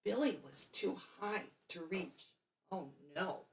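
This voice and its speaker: A woman's voice: mid-range voice, animated, slow. A woman storyteller reading a children's bedtime story expressively, drawing out key words for dramatic emphasis.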